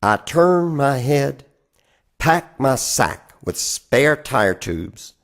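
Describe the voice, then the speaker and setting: A man performing a dramatic spoken-word poetry reading, phrasing deliberately and with theatrical emphasis. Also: mid-range voice, very animated. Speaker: reader of poetry.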